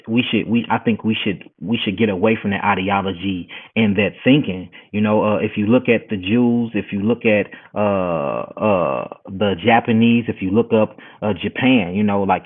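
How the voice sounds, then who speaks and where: A man, a guest herbalist, lecturing earnestly in a podcast interview, often repeating words as he starts a thought. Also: fairly low pitch. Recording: tinny phone-like sound.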